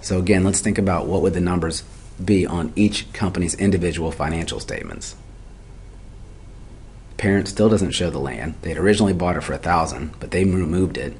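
A man with a very low voice delivers an instructional lecture. He works methodically through each step, asking questions and answering them himself. There is faint noise in the recording. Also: brisk, a little mellow. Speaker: accounting instructor. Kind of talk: explanatory lecture for a video tutorial.

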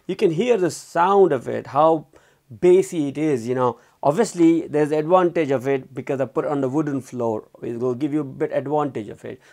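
A man's voice: fairly high pitch, moderate pace, darkish tone. A man giving a casual, unscripted product demonstration as a reviewer, repeating and rephrasing as he explains. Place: small indoor room at a desk.

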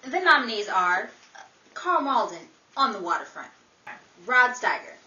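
A young woman playing a brisk, declamatory presenter in a 1950s-style radio show. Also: on the high side, sing-song, sharp tone, highs cut off, exaggerated voice. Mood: presenting. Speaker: actress and award presenter. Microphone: far camera. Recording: echoey room, distant mic, background noise.

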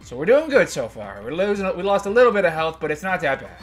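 A man's voice: a little high, sing-song, quick pace. A young man casually commenting on a video game as he plays, joking and swearing freely. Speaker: gameplay commentator. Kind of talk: let's play commentary. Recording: voice over game music.

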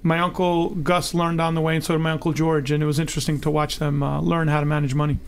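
A man in his forties from Long Island, telling stories as a podcast guest in an animated, laughing way. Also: a little high, quick pace, creaky, smooth. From Long Island, New York. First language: Greek. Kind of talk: storytelling in an interview.

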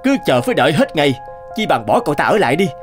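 An actor playing a brash, selfish young elder brother in a cartoon fairy tale. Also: male voice, fairly high pitch, sing-song, rapid-fire, over music. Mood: irritated, scheming.

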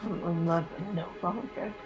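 A woman's voice: lowish voice, steady tone, moderate pace, dull audio, over music, close to an everyday voice. A woman playing an obsessive, grieving elderly mother in a radio horror drama, imploring and intense. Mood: bereft.

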